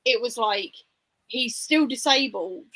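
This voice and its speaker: A woman with a fairly high, very bright voice talks casually as a discussion host. She is informal and opinionated, softening points as she thinks them through in conversation. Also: expressive, slow. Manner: informal and opinionated, hedging with "like" and "sort of". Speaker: book discussion livestream host. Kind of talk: casual book discussion chat.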